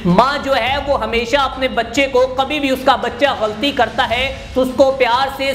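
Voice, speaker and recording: A woman with a Pakistani accent delivers indignant news commentary in a rhetorical, admonishing manner. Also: high-pitched. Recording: roomy echo, faint background noise.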